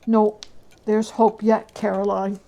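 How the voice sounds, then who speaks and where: A woman voicing a careworn young husband, earnest and gently hopeful, in a storybook reading. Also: medium pitch, little pitch movement, slow pace, vocal fry, muffled recording.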